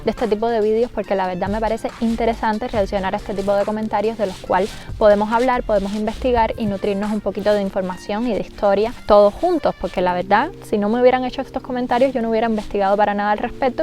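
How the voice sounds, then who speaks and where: A woman's voice: medium pitch, steady tone, quick pace, hardly any pauses, a little mellow. A young adult woman with a Cuban accent speaking conversationally and explaining things in a casual vlog as she responds to viewers. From Cuba. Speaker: YouTube content creator.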